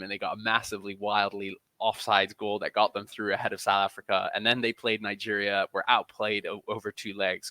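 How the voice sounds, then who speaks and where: A man's voice: fairly low pitch, sharp tone, no echo. A man speaking as a podcast interview guest, talking emphatically and often repeating or restarting his phrases mid-thought. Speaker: interview guest, football journalist.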